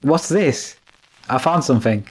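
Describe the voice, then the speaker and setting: A man's voice: mid-range voice, expressive, unhurried, slightly rough. Man playing a polite, uneasy manservant. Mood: nervous. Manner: polite, hesitant. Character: deferential, uneasy manservant following his master. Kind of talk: old-time radio drama acting.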